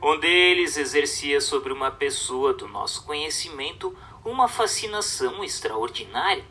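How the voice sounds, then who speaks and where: A Brazilian man narrates in a crisp, forward, bright voice that sits a little high. He reads formally and with measured, instructive phrasing, as from a religious book. Faint music plays behind him. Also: lively intonation. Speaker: narrator reading a book aloud. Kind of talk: scripted narration of a religious treatise.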